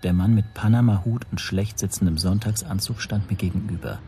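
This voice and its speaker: An actor playing an anxious foreign language teacher who narrates his own story in a measured, introspective radio play style. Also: low-pitched, mellow, slight background noise. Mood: uneasy, measured. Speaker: first-person narrator.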